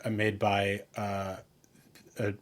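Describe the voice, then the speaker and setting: A man's voice: lowish voice, monotone, slow. A man co-hosting a podcast in casual conversation, rambling informally through memories and opinions, with some swearing. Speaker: podcast co-host. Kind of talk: casual chat, reminiscing about music.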